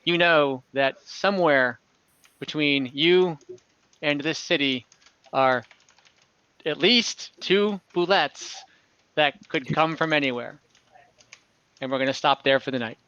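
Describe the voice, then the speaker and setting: A man with a bright, fairly high, clean voice acts as game master, improvising scenes in a hesitant, halting way. The sound is very dry and close, as in an online session. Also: clean tone, dry recording, lo-fi sound. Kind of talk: improvised tabletop game narration.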